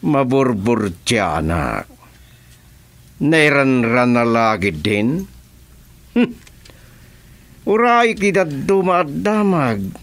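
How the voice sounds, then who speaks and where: Slow, scheming boss. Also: male voice, mid-range voice, sing-song, a bit bright, full character voice. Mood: calculating. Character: scheming, deliberate crime boss. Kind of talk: radio drama acting.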